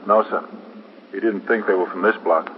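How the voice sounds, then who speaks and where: A respectful male police sergeant in a vintage radio drama, played by an actor. His lowish voice is plain and matter-of-fact, as if filing a report. The sound is thin and tinny with faint hiss. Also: steady tone, normal speed, natural voice. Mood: grave, reporting. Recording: phone-thin, hissy 1950s radio broadcast.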